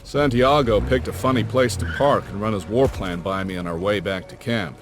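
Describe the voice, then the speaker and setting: A folksy older adventurer, played by a man, tells his tale in a low voice with slangy, reflective phrasing. It sounds like a cinematic game cutscene, with music clearly playing behind him. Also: moderate pace, natural voice. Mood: wistful. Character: folksy older male adventurer, warm storytelling uncle type.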